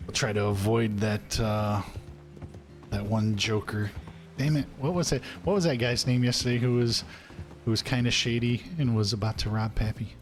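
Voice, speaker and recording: A man's voice: lowish voice, normal speed, faint music behind. A man streaming a western roleplay game, talking in a folksy, chatty way and joking about himself with viewers. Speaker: streamer playing an old cowboy character.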